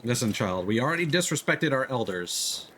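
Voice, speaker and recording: A man's voice: medium pitch, very animated, normal speed, fairly bright tone, a little hiss or hum. A man live streaming a video game with casual, playful, joking commentary. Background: game music.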